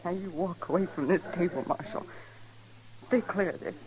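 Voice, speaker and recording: An actress playing a vengeful Old West card dealer in a radio drama, hard and defiant. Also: female voice, fairly low pitch, normal speed, a crowd in the background, close to an everyday voice, rough recording. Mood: bitter, determined. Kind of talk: old-time radio western acting.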